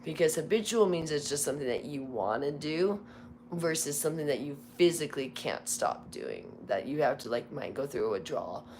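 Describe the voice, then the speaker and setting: A woman chatting casually on a livestream, hoarse from losing her voice, rambling with many fillers and restarts. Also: deep voice, lively intonation, a little fast, darkish tone, a little hiss or hum. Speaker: livestream host.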